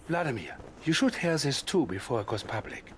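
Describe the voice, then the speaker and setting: An actor playing a sinister, scheming psychic advisor in a game cutscene, speaking softly and menacingly, often close to a whisper. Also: male voice, mid-range voice, big pitch swings, brisk, a little hiss or hum, close to an everyday voice. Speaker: Soviet military advisor. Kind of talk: video game cutscene acting.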